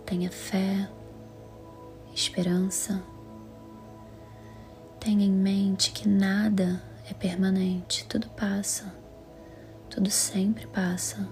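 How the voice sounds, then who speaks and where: A Brazilian Portuguese woman leads a guided meditation in a calm, soothing, measured way, her voice soft, breathy and half-whispered. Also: medium pitch. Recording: music under the voice. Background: music.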